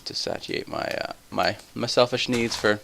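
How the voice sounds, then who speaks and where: A tired young man with a somewhat deep voice, rambling through casual gameplay commentary full of tangents. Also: fairly even intonation, moderate pace, gravelly, fairly bright tone, slight background noise. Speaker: let's play host.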